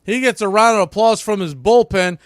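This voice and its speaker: A man calling baseball play-by-play live, in clipped pitch-by-pitch phrases. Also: brisk, no echo, studio quality. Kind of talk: live sports commentary.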